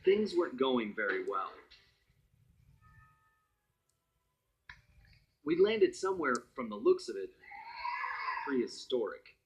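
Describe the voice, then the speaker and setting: Cool male thief narrator, husky voice, lively swings in pitch, wry, conspiratorial game cutscene storytelling. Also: normal speed. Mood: tense, concerned. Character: wry, cool male gang-leader thief recounting adventures.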